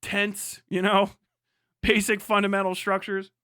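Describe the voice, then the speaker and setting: A man's voice: high-pitched, completely flat delivery, measured pace, pushed and bright, smooth, no room sound, clean studio sound. A man on a casual, emphatic livestream rant, speaking off the cuff, repeating himself for emphasis and swearing freely. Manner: emphatic, repetitive, profane.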